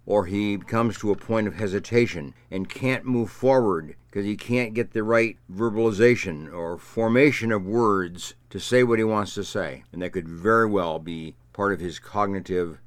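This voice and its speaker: A man who says he stutters, talking as a speech therapist and explaining his points with measured, emphatic repetition. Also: fairly low pitch, dry recording. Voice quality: stutters at times. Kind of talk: explanatory talk to camera.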